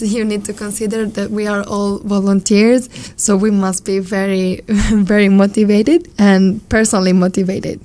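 A woman with a northern Italian accent, a volunteer psychologist answering interview questions in a measured, earnest way, Italian being her first language. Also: medium pitch, warm tone. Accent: Italian, from around Turin. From northern Italy. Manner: measured, earnest, careful non-native phrasing.

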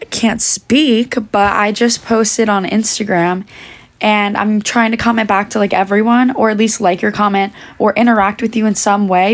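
A young woman chatting on a phone livestream, answering fan questions while she is losing her voice. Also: mid-range voice. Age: young adult. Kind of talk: casual livestream chat. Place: indoors, small room. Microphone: phone held at arm's length.

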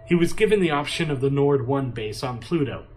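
An actor playing an anxious, deferential adviser to a president in an audio drama, hesitant and cautioning. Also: male voice, mid-range voice, lively intonation, fairly quick, darkish tone, close to an everyday voice. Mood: reluctant.

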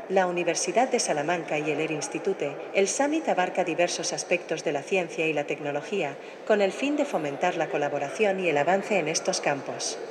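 Brief: synthetic female AI assistant, lowish voice, crowd murmur behind. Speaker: AI avatar assistant. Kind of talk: synthesized explanatory answer. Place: played from a screen in a busy conference lobby.

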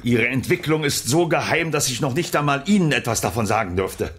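A man's voice: mid-range voice, expressive, a little fast, a bit bright, close to an everyday voice. A man playing a grave, resolute military general in a children's science-fiction audio drama, speaking with dramatic emphasis. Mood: explaining gravely.

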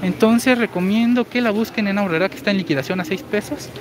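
A man in his forties with a high, girlish-sounding voice, chatting through a live store walkthrough and reading viewer comments. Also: quick pace. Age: 40s. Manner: chatty, explains deals while walking. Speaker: shopping deals streamer. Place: large indoor supermarket. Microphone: phone held while walking. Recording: noisy store ambience.

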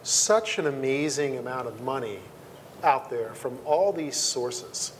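A man's voice: medium pitch, expressive, measured pace, a little hiss or hum, poor audio. A middle-aged man moderating a panel on a conference stage in a conversational way. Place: conference stage before an audience.

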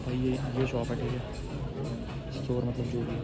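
A man's voice: medium pitch, completely flat delivery, moderate pace. A young man chatting casually to his phone camera while out on a hot day, sounding worn out and tossing in "okay" and "bro" constantly. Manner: chatty, tired, keeps saying "okay" and "bro". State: worn out from the heat. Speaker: vlogger. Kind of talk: casual vlog talk to camera. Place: outdoors at a busy city mall and street. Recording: loud crowd and music behind. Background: crowd chatter, store music, traffic.

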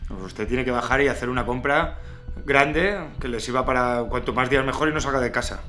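Bright-voiced Spanish man, halting and scolding. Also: medium pitch, faint music behind. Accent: Spanish from Spain. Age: 30s. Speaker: prank caller. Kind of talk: prank phone call posing as a police officer. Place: small room at home.

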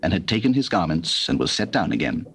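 A male narrator with a fairly low voice tells scripture in a formal, theatrical way. His pitch moves widely through the phrases. It sounds like an old cassette, with some noise. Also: big pitch swings, fairly quick, a bit bright. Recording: noisy old cassette recording.

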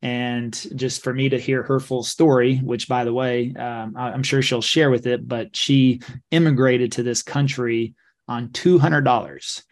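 Man, 40s, easygoing podcast host on a desk mic, relaxed intro. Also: medium pitch, unhurried. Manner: casual, many fillers, reads stats. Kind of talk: interview introduction. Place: home studio.

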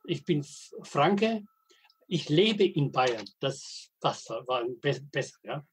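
A man, telling anecdotes as an interview guest with halting, hesitant phrasing and dry humor. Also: fairly high pitch, sing-song, slight background noise, rough recording. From Middle Franconia, Germany. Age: 80s. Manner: halting, frequent hesitations and restarts. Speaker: guest, veteran wastewater engineer and editor. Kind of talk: podcast interview, storytelling.

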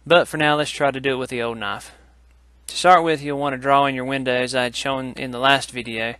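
A man's voice: mid-range voice, steady tone, a little fast, a bit bright, dry recording. A man narrating a hands-on craft tutorial in a casual, instructional style. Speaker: woodcarving tutorial host.

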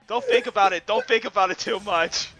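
A man's voice: high voice, brisk, over loud music, poor audio. A man in casual chat, reacting as a listener to a reading.

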